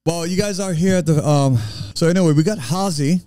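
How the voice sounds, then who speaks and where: A man hosting a casual music show, excitable and quick to laugh. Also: a little high. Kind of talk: casual chat.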